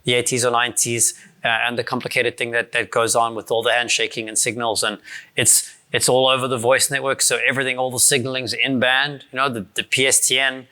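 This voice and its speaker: A man in his forties from South Africa, with a South African accent softened by years abroad, giving a casual conference talk with many fillers and restarts. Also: mid-range voice, flat delivery, a little fast. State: voice strained from shouting the night before. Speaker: conference speaker. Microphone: handheld or stand mic.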